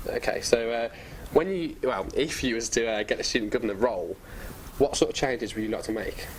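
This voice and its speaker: A man's voice: mid-range voice, fairly quick, a little hiss or hum, rough recording. A young man interviewing candidates on a student TV show, upbeat and full of stock praise.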